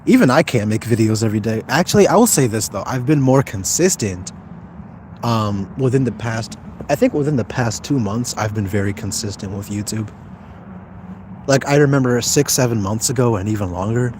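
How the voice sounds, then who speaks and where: Noisy outdoor recording, young man, lowish voice, casual livestream chat, slangy, halting delivery. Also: expressive, a little mellow. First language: Somali speaker, as second language. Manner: informal slangy, halting, thinks aloud. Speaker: YouTuber live-streaming. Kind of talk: casual livestream chat answering viewer comments.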